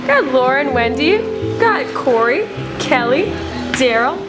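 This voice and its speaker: A woman's voice: sits high, sing-song, measured pace. A woman in her twenties hosting a casual behind-the-scenes video diary. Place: restaurant back room, indoors. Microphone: handheld camera mic. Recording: loud crowd chatter and music.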